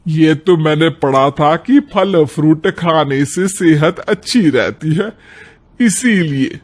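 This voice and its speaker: Man playing a proud elderly Indian mother-in-law, slow-spoken. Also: fairly high pitch, animated. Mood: indignant, defensive.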